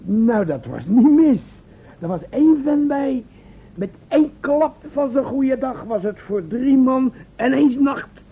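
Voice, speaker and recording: Casting: cheeky, high-pitched braggart adventurer for a radio serial, colloquial storyteller; tinny, hissy old recording. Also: male voice, animated, slow, husky, full character voice. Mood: boastful, excited. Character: breezy, boastful male time-travel test subject, unschooled. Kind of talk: radio play acting.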